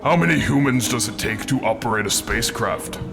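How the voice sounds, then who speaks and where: Gravelly, deadpan alien warrior. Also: male voice, mid-range voice, brisk, over music. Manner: deadpan, formal. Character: dry-witted male alien Elite warrior.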